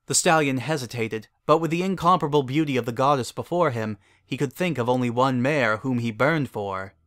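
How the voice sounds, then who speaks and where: A man giving a dramatic reading of a fairy tale as narrator, in a measured, formal storytelling manner. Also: medium pitch, a bit bright, no room sound, clean studio sound.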